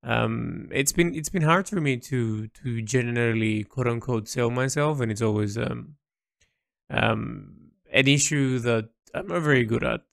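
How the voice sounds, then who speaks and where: A man recording a reflective, unscripted audio diary, thinking aloud haltingly with repeats, in a creaky voice. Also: mid-range voice, dry recording, studio quality. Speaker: painter recording an audio log.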